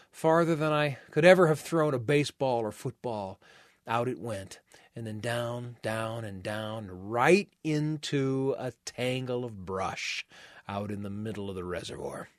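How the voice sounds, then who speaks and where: Guy hosting a story show, expressive measured telling, pauses a lot, really dry studio mic. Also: medium pitch, no room sound. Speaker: radio storytelling host. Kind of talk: warm-up intro and personal storytelling narration.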